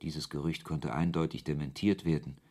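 An actor playing a wry author who narrates his story in a measured, literary way in a German radio play. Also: extremely low pitch, fairly even intonation, a little mellow.

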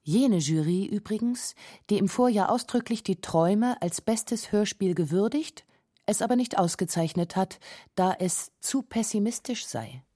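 A woman's voice: medium pitch, lively intonation, measured pace, warm tone, dry recording, studio quality. A woman reading scripted radio documentary narration in a terse, pointed way. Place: dry studio.

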